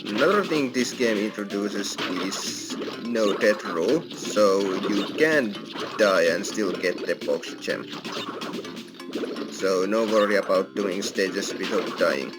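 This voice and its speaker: A man's voice: lowish voice, expressive, slow pace. A man giving casual, explanatory let's play commentary as a gaming host, with non-native phrasing. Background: video game music.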